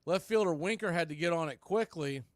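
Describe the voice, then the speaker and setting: Higher-voiced man calling baseball. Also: slight background noise. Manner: clipped phrases with pauses between calls. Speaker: baseball play-by-play announcer. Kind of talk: live sports radio play-by-play commentary.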